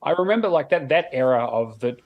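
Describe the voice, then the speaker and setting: A man's voice: mid-range voice, expressive, a little fast, lo-fi sound. Casual, sweary male podcast co-host. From Sweden. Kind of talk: casual chat about video games.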